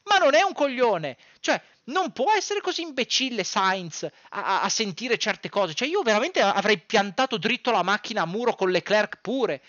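An exasperated young man rants in a high, wildly swinging voice. He stresses and repeats his phrases and swears freely. The sound is close and dry, as from a home setup. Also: expressive, normal speed, hardly any pauses, fairly bright tone, no echo, lo-fi sound. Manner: exasperated, emphatic, foul-mouthed, repeating phrases. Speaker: sports content creator. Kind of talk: heated ranting commentary to camera. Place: small dim room at home.

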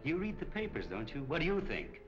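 An actor playing a slick middle-aged crook with a smooth, controlling manner, in 1940s crime film style. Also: male voice, a little high, huge pitch swings, fairly quick. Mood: menacing. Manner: smooth, controlling, veiled threats. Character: slick, menacing male cafe owner and smuggling crook. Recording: dull old film soundtrack, music behind.

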